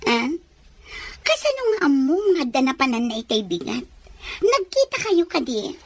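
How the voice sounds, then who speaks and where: A woman's voice: high voice, wildly swooping pitch, normal speed, heavily acted. A woman playing an inquisitive, suspicious young woman who presses with questions in a radio drama.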